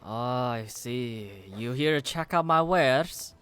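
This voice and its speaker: A man voicing a chubby, bald male dwarf blacksmith who shows off his wares, in a loud, higher, halting put-on voice. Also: clean tone. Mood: welcoming.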